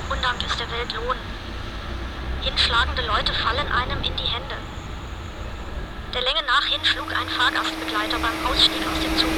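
A woman performing a feeble, sexually indefinite figure drained by alienation, in a halting, introspective monologue for experimental radio art. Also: fairly high pitch, lightly acted. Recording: clear background noise.

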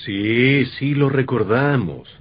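A man's voice: medium pitch, slow. A man playing a reasonable dinner guest in a vintage radio drama. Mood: reasoning. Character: reasonable male dinner guest, calmly explaining. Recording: dull lo-fi old radio recording.

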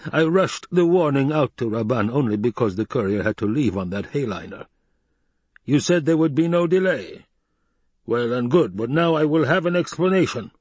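A man's voice: mid-range voice, a little mellow, no echo, pitched above the performer's own voice, close to an everyday voice. A man voicing a fat, scheming baron in an audiobook, pompous, blustering and petulant. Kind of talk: dramatized audiobook reading.

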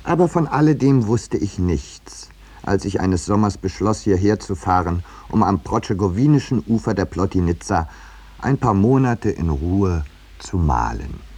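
Radio play lead: urbane middle-aged painter-narrator, low register, wry, self-important, measured delivery. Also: male voice, expressive, darkish tone, slightly rough, full character voice. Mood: wry, reflective. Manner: measured, ironic, slightly pompous. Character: landscape painter Eduard Merlin, urbane wry storyteller, looking back.

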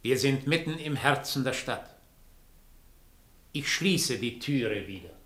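A calm, sinister double leading his victim. His tone is forceful and bright, with room reverb around it. He speaks with quiet, insistent authority. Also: medium pitch, slow pace. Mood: guiding. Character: sinister male doppelganger, calm, commanding.

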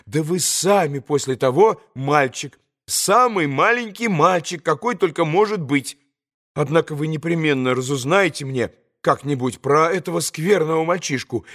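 A man's voice: fairly high pitch, expressive, normal speed, fairly bright tone. A man reading an audiobook and voicing an imperious, nervous young girl who gives commanding, impatient orders. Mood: scolding. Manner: commanding, urgent, impatient outbursts.

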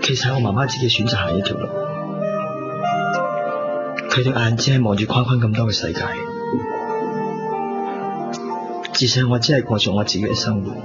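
A man's voice: medium pitch, fast, pauses often, natural voice. A man with a Hong Kong accent playing a pensive, guarded young man in love in a radio drama, speaking in a subdued way. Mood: brooding. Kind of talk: 1990s radio drama acting. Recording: muffled radio broadcast with music underneath.

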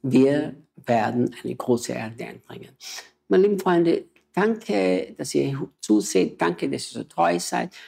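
A man's voice: on the high side, lively intonation, slow, vocal fry, a little mellow, breathy edge. A man, a missionary preacher and native German speaker, talking with emotional, urgent exhortation in a conversation.

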